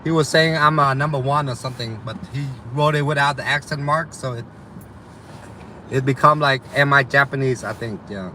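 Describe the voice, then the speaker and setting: A man's voice: mid-range voice, moderate pace, pushed and bright, a little hiss or hum. A young man with a slight Vietnamese accent, chatting casually with live viewers about delivery driving in a loose, rambling way full of ums and uhs. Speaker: delivery driver streaming to viewers. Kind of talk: casual live chat reading viewer comments.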